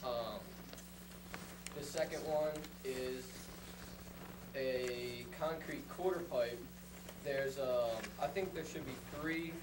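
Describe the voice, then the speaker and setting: Young male resident, informal hesitant presenter, medium pitch, recorded at a distance. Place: council meeting room, far camera. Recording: distant room mic, loud background noise.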